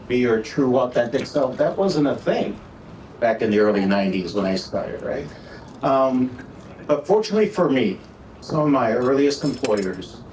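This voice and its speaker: A middle-aged man with a Minnesota accent, speaking casually to an audience at an awards event, halting with frequent "uh" and "right?". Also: mid-range voice, a little mellow, a little hiss or hum. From Texas, raised in Minnesota. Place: event hall. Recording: echoey room, voice from far off.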